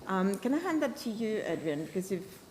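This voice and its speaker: A woman moderating a panel discussion, framing questions in a halting way with many fillers. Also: medium pitch, big pitch swings, crowd noise. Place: conference hall. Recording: long hall reverb, faint noise.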